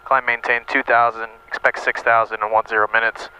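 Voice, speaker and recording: A man explains things like an instructor talking to a student. His voice sits at an average pitch, and his delivery is casual and conversational, with plain wording. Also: flat delivery, brisk, pushed and bright, smooth, low-quality recording. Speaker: flight instructor, presenter.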